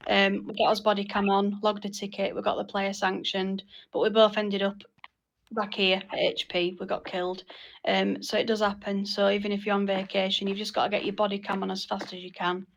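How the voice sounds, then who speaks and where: A woman's voice: medium pitch, little pitch movement, a little fast, gravelly, dull audio. A woman leading an informal group meeting, explaining things in a hesitant, repetitive way. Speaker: meeting leader.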